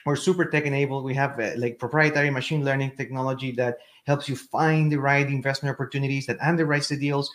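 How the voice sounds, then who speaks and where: Halting Chilean man with fillers. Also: medium pitch, steady tone, a bit bright. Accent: Chilean Spanish. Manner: halting, many repeated words and fillers. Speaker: guest, real estate investor. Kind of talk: casual interview chat.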